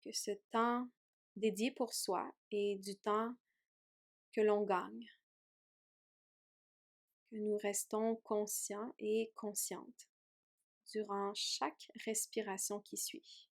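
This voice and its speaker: A woman yoga teacher leading a guided meditation in a gentle, soothing way with long pauses. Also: medium pitch, level tone. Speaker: yoga teacher guiding a relaxation.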